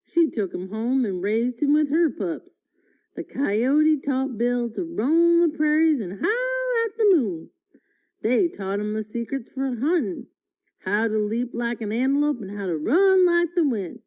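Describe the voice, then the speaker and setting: Female storyteller, very lively intonation, unhurried folksy read-aloud, slightly muffled lo-fi sound. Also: fairly high pitch. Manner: expressive, deliberate storytelling with folksy phrasing. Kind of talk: animated read-aloud of a folksy tall tale. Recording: dull, lo-fi audio.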